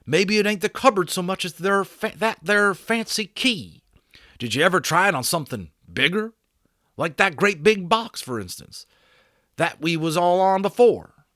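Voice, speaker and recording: A man voicing a rough, excitable grown cowboy who talks in folksy backcountry grammar. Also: high voice, normal speed, no room sound, clean studio sound, voice pushed up. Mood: musing.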